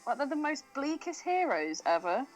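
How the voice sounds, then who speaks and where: A woman's voice: high-pitched, big pitch swings, normal speed, soft background music. A woman co-hosting a podcast with blunt, teasing, casual banter. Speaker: podcast co-host.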